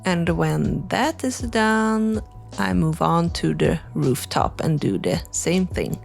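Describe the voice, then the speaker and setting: A young woman narrates a craft tutorial at an easy, unhurried pace. Her voice sits fairly low and carries a gravelly texture, and she talks casually, with plenty of "like" and "you know." Faint music plays behind her. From Sweden. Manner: relaxed, chatty explaining with frequent "like" and "you know". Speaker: crafting channel host.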